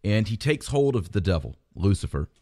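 A man's voice: lowish voice, big pitch swings, moderate pace, warm tone, no room sound, studio quality. A male podcast host with a nasal, stuffed-up voice from a cold, teaching about the faith in a conversational way with occasional fillers and restarts.

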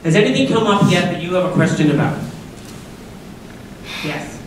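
Deep-voiced woman speaking in an echoey hall. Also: very animated, normal speed, a little hiss or hum. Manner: upbeat, chatty, asks the audience questions. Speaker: guest speaker at a school assembly. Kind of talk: lively talk to students. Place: large school auditorium. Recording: echoey auditorium from far off.

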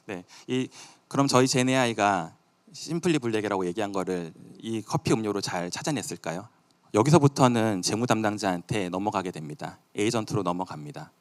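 Low-voiced man presenting a product demo. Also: animated, moderate pace. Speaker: presenter at a company tech event.

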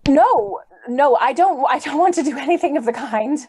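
A woman's voice: high-pitched, expressive, normal speed, darkish tone. A woman acting an idealistic, easily indignant lady visitor in an old-time radio drama, earnest and theatrical. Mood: shocked, indignant. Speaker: actor. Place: indoors on a video call.

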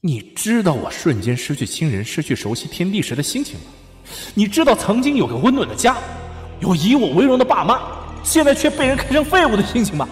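A hot-tempered young prince played by a man in a costume audio drama, pitched high and swinging wildly. He is crude, anguished and theatrical, with music playing behind him. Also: big pitch swings, brisk, big-room sound. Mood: furious, anguished. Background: background music.

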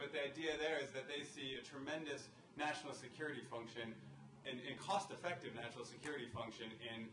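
Halting man in an echoey conference room. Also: mid-range voice, noisy background. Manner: halting, many fillers and restarts, gestures while talking. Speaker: panelist. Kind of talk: conversational panel remarks. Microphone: table microphone. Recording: echoey room, picked up from a distance.

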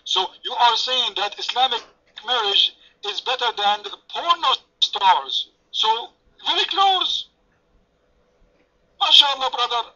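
Sarcastic high-pitched guy running a voice chat debate, french first language, bright piercing tone, muffled internet audio. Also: sing-song, slow, gravelly. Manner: taunting, sarcastic. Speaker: debate room moderator. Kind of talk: mocking online debate.

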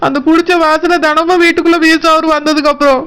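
A woman's voice: extremely high pitch, little pitch movement, quick pace, fairly bright tone. A woman voicing a fed-up young married village daughter in an amateur cartoon, plaintive and insistent, with a Kanyakumari Tamil accent. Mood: complaining.

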